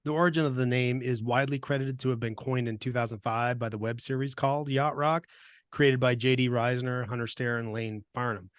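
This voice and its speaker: A man's voice: mid-range voice, fairly even intonation, brisk, smooth, no echo. Joking man in his fifties, dry close mic. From Vermont. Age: 50s. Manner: jokey, chuckles often between points. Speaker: podcast co-host. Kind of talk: casual podcast chat.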